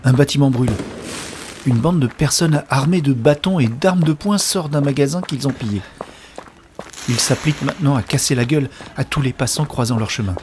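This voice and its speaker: A man performs an anxious man on the run in an audio drama. He tells it as it happens, in urgent, clipped phrases at a middling pitch. There is clear noise behind the voice. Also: moderate pace, lightly acted. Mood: tense, alert. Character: anxious man racing through a riot to reach a woman. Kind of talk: first-person audio fiction narration in present tense.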